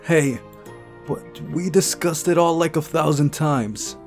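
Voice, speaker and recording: A man's voice: a little high, slow, voice pushed up. A man voicing an ordinary young man in a visual novel, defensive and hesitant in manner. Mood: exasperated. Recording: music behind the voice.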